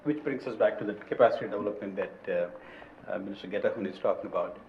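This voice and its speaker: A man's voice: fairly low pitch, fast talker. A man moderates a conference panel and politely hands the floor to the audience. The audio is somewhat dull, with faint noise behind him. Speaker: session moderator.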